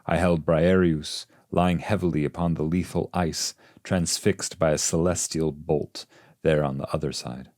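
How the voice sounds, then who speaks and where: A man reading a classic poem as literary audiobook narration, in a measured, solemn manner. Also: extremely low pitch, steady tone, a little mellow, no echo, clean studio sound. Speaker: translator and reader of a classic poem.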